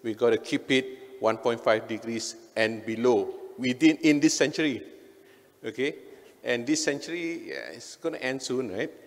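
A man's voice: medium pitch, normal speed. A middle-aged Malaysian-accented man presents at a conference in an informal, conversational way. His mid-range voice often adds short check-in tags. The sound is that of a stage microphone in a reverberant hall. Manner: conversational, frequent "right?" and "okay" tags. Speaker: conference speaker, engineer. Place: indoor hotel event hall on stage.

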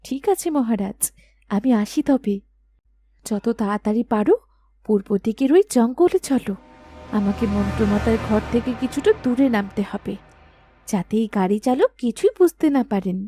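A woman's voice: a little high, expressive, moderate pace, mellow, soft background music, lightly acted. A woman voicing a haughty, scheming stepmother queen in a fairy-tale cartoon, commanding and two-faced. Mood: composed, commanding.

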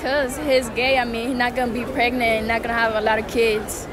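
A woman's voice: fairly high pitch, flat delivery, fairly quick, crowd noise. A young woman answering a street interview in a clothing store with short, casual replies.

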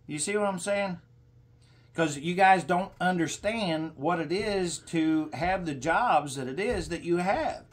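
A middle-aged man making a confrontational complaint as a caller, speaking in a halting, insistent, lecturing way, recorded in a small room. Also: sits high. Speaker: caller to a senator's office.

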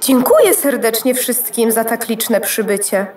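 A woman's voice: on the high side, lively intonation, a little slow, a little mellow. A woman playing a gracious, easily flustered motherboard hostess in a children's audio drama, polite, effusive and rambling. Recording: echoey room.

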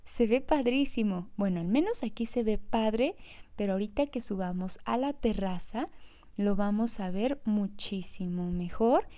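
A Mexican woman chats easily to her viewers, with a mellow, soft-edged tone and an everyday middle pitch. She talks in a friendly, unhurried vlog style, pointing things out as she goes. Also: expressive. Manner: chatty, addresses viewers directly, fond of diminutives. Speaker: vlogger. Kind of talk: casual travel vlog narration.

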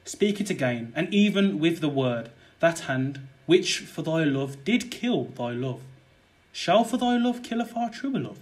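A man's voice: on the high side, big pitch swings, unhurried, rough recording. A young man playing a scheming, murderous nobleman in a verse audio drama, wooing ardently and persuasively. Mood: fervent. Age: young adult. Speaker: student actor.

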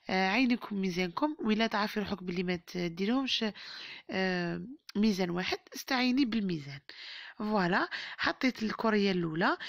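A woman with an Algerian Arabic accent and a hoarse voice, chatting to viewers as she narrates a home baking tutorial. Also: mid-range voice, no room sound, studio quality. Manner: chatty, warm-hearted blessings and advice to viewers. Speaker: cooking channel host. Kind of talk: home cooking tutorial voice-over.

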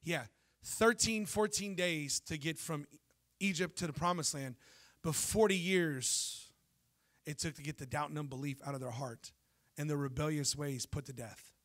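Bright-voiced animated preacher man. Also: fairly high pitch, moderate pace. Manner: animated storytelling preacher, jokes and asks the crowd questions. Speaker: pastor. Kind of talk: sermon. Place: church stage before a congregation. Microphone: handheld mic.

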